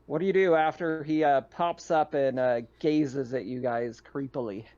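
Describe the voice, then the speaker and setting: A man's voice: mid-range voice, normal speed, a little mellow. A man running a tabletop roleplaying game as game master, narrating and chatting casually. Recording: slightly dull, lo-fi mic.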